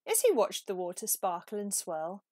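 A woman reading a children's picture book aloud as an expressive, animated storyteller. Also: medium pitch, moderate pace, no echo, clean studio sound. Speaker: storybook reader.

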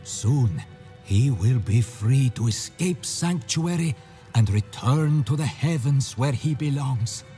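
Casting: solemn man of faith, slow, mellow, reverent, fantasy game with faint music. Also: mid-range voice, animated. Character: devout, solemn male follower of a holy order. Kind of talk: dramatic video game dialogue.

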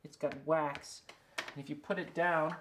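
A man's voice: a little high, steady tone, a little slow, a bit bright, a little hiss or hum. A man, casually showing and demonstrating his work as an illustrator, musing to himself as he goes. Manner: musing, talks to himself while working. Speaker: illustrator and picture book author. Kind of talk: casual show-and-tell and drawing demonstration. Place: indoors in a small studio with books and records.

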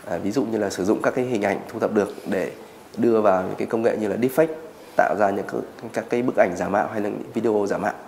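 Man, thirties, expert interviewee, fast talker, low voice, hesitant fillers. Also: vocal fry, a little mellow, slight background noise. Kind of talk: interview explanation.

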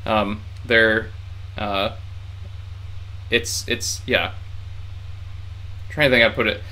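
A man's voice: lowish voice, steady tone, slow, bright, forceful tone, poor audio. A man in his thirties chatting casually to camera in a small room, rambling with lots of fillers and restarts. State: tired from heat. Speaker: vlogger learning Japanese.

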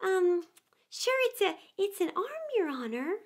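A woman's voice: very high-pitched, sing-song, a little slow, voice pushed up, full character voice. A woman voicing a humble male gardener, hesitant and deferential, in a storybook reading.

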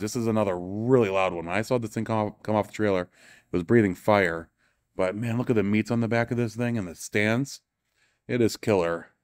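Man, low smooth voice, casual walking tour host in an exhibition hall, dry close sound, eager and offhand. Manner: enthusiastic, offhand, self-correcting. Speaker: show tour guide and author. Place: large indoor exhibition hall.

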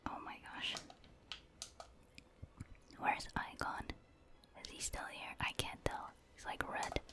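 A woman whispers casual ASMR commentary over a video game, rambling and thinking aloud in a breathy, unvoiced voice. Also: slow. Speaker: gaming ASMR video host.

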